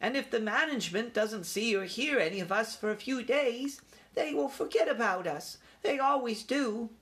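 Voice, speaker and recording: A man's voice: extremely high pitch, expressive, moderate pace, a bit bright, voice pushed up. A man voicing a young boy mouse in a storybook, eager and a little grand. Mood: confident, commanding.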